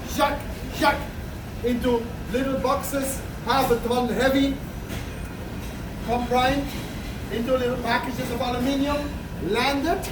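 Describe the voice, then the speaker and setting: Man, tour guide, loud carrying voice, chatty and joking, echoey galley taped from across the room. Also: very high voice. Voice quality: loud, projecting voice. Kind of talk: guided tour talk to a group. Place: large ship kitchen, indoors. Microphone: far camera in the crowd. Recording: echoey kitchen from far off with loud background noise.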